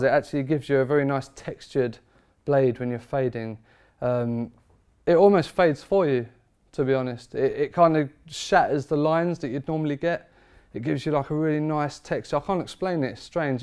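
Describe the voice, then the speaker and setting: A man, talking shop as a barber seated in his barber shop. His voice is smooth and resonant, and his speech is emphatic and informal. It rings with eager conviction. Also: mid-range voice, darkish tone. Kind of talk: product review chat.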